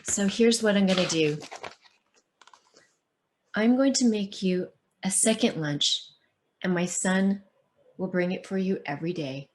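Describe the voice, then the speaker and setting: A woman reading as a gentle, caring mother in a picture book, quiet and deliberate. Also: medium pitch, lightly acted. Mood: gentle, kind.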